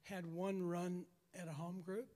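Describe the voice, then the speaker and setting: A raspy-voiced man giving a halting personal testimony to a church congregation, repeating words as he goes. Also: sits high, level tone, mellow. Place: church hall. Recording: long church hall reverb.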